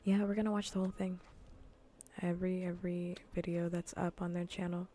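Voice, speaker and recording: Soft whispery girl streamer just yapping, kinda low n mellow, close asmr mic w a little bg noise. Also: flat delivery, brisk. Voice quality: soft, breathy, often drifting into whispers. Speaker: livestreamer hosting a cozy gaming stream. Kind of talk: casual ASMR gaming stream chat. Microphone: close ASMR microphone.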